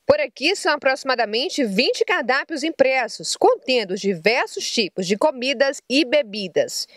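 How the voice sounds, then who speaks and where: Lively high-voiced Brazilian woman reporter. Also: moderate pace, no room sound, clean studio sound. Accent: Brazilian Portuguese. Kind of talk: news report narration.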